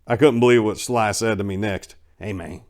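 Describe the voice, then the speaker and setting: A man narrating a story as a dramatic reading, theatrical and folksy, swinging between hushed delivery and loud emphasis. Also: fairly low pitch, steady tone, brisk. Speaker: narrator and channel host.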